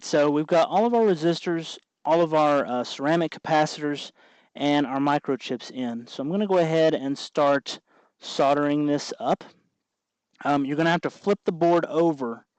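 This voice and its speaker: A man's voice: on the high side, a little mellow, no echo, poor audio. A man hosting a do-it-yourself tutorial, talking informally and instructively as he works with his hands. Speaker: host of a DIY electronics tutorial.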